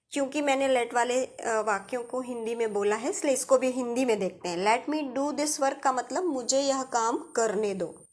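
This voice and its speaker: A woman with an Indian accent giving a step-by-step grammar lesson as a teacher, addressing her students directly. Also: on the high side.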